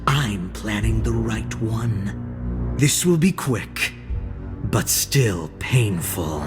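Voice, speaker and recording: A cold, cocky young assassin who speaks slowly and coolly, every line a calm, cutting boast. His voice sits a little low, with music clearly behind it in the game's voice lines. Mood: cold arrogant. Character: cold, arrogant young male assassin warrior. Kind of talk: video game voice lines. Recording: game audio over music.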